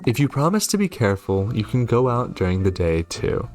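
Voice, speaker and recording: A man's voice: lowish voice, sing-song, fairly quick, darkish tone, faint music behind. A man voicing a protective witch mother in a horror game, firm and instructive with her son. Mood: cautioning.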